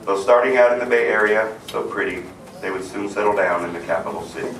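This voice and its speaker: Lower-voiced man reading verse, echoey room. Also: quick pace. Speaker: family member reading a tribute poem. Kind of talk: humorous rhyming verse read aloud to an audience. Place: room at a family gathering. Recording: echoey, distant, loud crowd noise.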